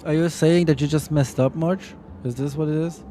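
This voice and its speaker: A man's voice: fairly high pitch, steady tone, normal speed, mellow, soft background music. A young man streaming a video game, rambling casually and reading game text aloud with jokes. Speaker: vtuber streamer.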